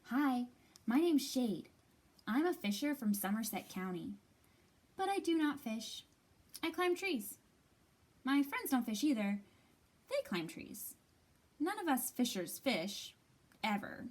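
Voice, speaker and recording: A woman's voice: sits high, big pitch swings, takes it slowly, long pauses, full character voice. A woman reading as a proud, tree-climbing fisher animal from a picture book, with playful, emphatic insistence. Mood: playfully insistent.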